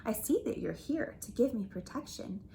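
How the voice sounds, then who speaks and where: A woman voicing a kind, calm female yeti in a storybook, gentle and reassuring. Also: fairly high pitch, moderate pace, vocal fry, slight background noise.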